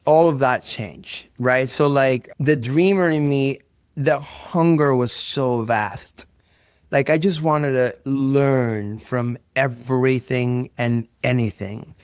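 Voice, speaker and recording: Clear-voiced Puerto Rican man, earnest. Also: mid-range voice, slow pace, dry recording, studio quality. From Puerto Rico. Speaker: interview guest, performing artist. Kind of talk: reflective conversational interview.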